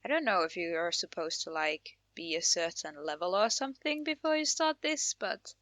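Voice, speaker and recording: A woman from Finland gives informal, chatty commentary as she plays a game. Her voice sits a little low for a woman, and the sound is close and free of room echo. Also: expressive, normal speed, a bit bright. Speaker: gaming channel host. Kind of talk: casual Let's Play commentary.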